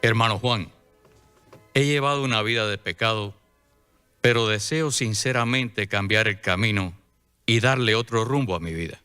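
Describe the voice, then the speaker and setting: A man's voice: on the low side, normal speed, bright, forceful tone, clean tone, faint music behind. An actor playing a repentant young nobleman turned humble helper, speaking earnestly and formally in radio drama style. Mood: pleading, repentant.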